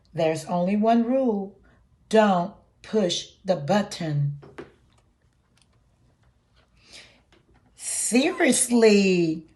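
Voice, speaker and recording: A woman voicing a silly, mischievous, excitable little male monster in a picture book, with exaggerated, drawn-out exclamations for small children. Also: medium pitch. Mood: playful, tempted. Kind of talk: picture-book character read-aloud.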